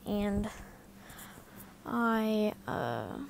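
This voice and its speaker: A woman's voice: mid-range voice, big pitch swings, extremely slow, gravelly, slight background noise. A tired young woman giving casual voice-over narration for her drawing video, rambling with lots of fillers and apologies, with the mic right next to her mouth.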